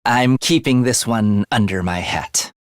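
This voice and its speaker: A man's voice: lowish voice, unhurried, no room sound, close to an everyday voice. A man voicing a sly young assassin in a video game, with a dry, sardonic wit and a theatrical, teasing manner. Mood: cool, calculating. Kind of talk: video game character voice lines.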